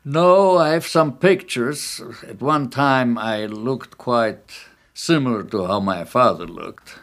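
A man in his eighties from Poland, recalling his early life in a measured, reflective way during an oral history interview at his home. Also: mid-range voice, fairly bright tone. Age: 80s.

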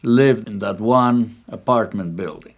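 Older man, 80s, from Poland; low voice; measured, reflective oral-history interviewee. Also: slightly rough. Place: his home.

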